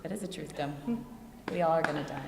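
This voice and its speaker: Lower-voiced woman bantering in a very echoey room. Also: fairly even intonation, fast, vocal fry, noisy background. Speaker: actress being interviewed. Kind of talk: casual bantering interview chat.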